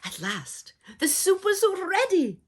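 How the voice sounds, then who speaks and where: A woman performing a children's storybook in a theatrical, dramatic way, with hushed asides and doting warmth toward her listeners. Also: extremely high pitch, big pitch swings, slow pace. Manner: addresses listeners with doting endearments. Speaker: storybook reader and host.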